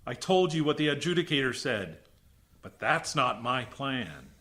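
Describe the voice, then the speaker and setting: An actor playing an indignant, earnest military base commander in a radio science fiction drama, speaking in an emphatic, protesting way. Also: male voice, mid-range voice, sing-song, a little slow, pushed and bright.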